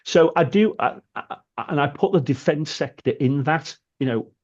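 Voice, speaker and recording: A British man co-hosting a podcast, speaking in a thoughtful, halting way with frequent restarts. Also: a little high, animated, lo-fi sound. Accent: British English. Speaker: podcast co-host. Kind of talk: casual podcast chat.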